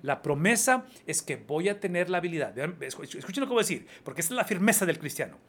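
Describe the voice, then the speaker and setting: A man's voice: fairly high pitch, sing-song, quick pace, a little hiss or hum. A man preaching a sermon in Spanish, emphatic and repetitive, often checking in with his listeners. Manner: emphatic, repeats phrases, asks the congregation "¿verdad?". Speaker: pastor.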